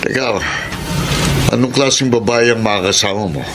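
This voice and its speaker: A man's voice: on the low side, moderate pace, over music, lightly acted. A Filipino actor playing a disapproving, skeptical older father in a radio drama. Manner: scolding, incredulous. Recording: noisy radio drama with background ambience.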